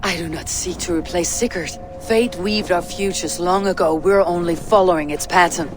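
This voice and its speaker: A woman acts as a stern Viking warrior woman in a video game drama. She speaks in a measured, commanding way, grave and level-headed, with faint music behind her. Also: on the low side, natural voice. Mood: defiant.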